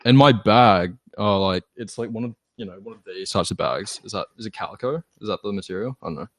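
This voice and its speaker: A man's voice: lowish voice, fairly quick, fairly bright tone, dry recording. A young Australian man chatting casually and telling anecdotes in a rambling, slangy way. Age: young adult. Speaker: band member and podcast guest. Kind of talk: casual group chat, anecdotal storytelling.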